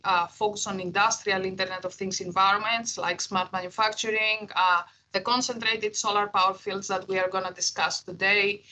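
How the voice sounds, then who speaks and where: A woman's voice: mid-range voice, bright, forceful tone, poor audio. A middle-aged woman from Greece with a Greek accent, giving an online keynote lecture as a professor, with frequent "uh" fillers. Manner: frequent "uh" fillers, earnest and explanatory. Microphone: video call.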